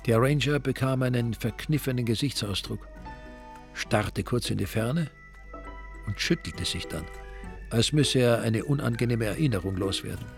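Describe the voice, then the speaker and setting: A man's voice: medium pitch, normal speed, creaky, faint music behind. A man narrating a crime radio play in a dry, ironic manner.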